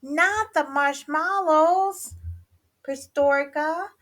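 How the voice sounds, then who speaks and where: A woman's voice: fairly low pitch, huge pitch swings, a little slow, breathy edge, full character voice. A woman voicing a swaggering make-believe Chihuahua sword fighter from a storybook, with a theatrical mock Spanish accent. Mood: dismayed. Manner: theatrical, grandiose, playful mock-Spanish word endings.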